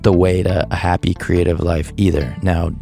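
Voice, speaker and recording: Deep-voiced man musing on a podcast. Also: flat delivery, moderate pace, vocal fry, mellow, soft background music. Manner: thoughtful, hesitant, with restarts and laughs. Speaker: podcast host. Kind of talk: reflective podcast monologue.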